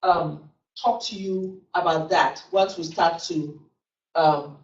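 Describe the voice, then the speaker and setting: A woman's voice: fairly low pitch, fairly even intonation, slow. A middle-aged woman with a Nigerian English accent, teaching a classroom lecture in a chatty way that keeps checking in with "okay". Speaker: instructor. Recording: roomy, distant, dull classroom recording.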